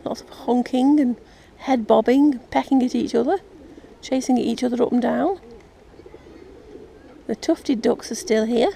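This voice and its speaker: An English woman chatting casually and gently as she narrates a nature vlog outdoors. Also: on the high side, unhurried, creaky, poor audio. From England. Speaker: vlogger. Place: outdoors in an urban park. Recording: outdoor ambient noise, birdsong. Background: birds and park ambience.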